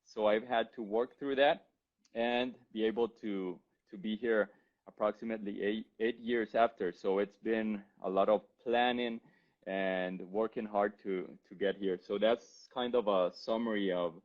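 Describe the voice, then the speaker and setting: A man's voice: lowish voice, fairly even intonation, measured pace. A man in his thirties with a Costa Rican accent, answering interview questions politely and somewhat formally over a video call. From Costa Rica. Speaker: interview guest, physician. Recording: dull, lo-fi call audio.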